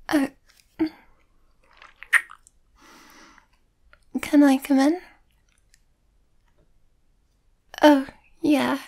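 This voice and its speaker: Shy young werewolf girlfriend played by a woman for ASMR roleplay: high, mellow, hesitant and often half-whispered. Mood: happy, bashful.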